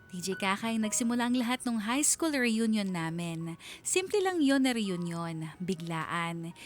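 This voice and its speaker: A woman's voice: mid-range voice, lively intonation, a little slow, faint music behind. Woman narrating a confiding radio story. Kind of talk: scripted dramatic storytelling.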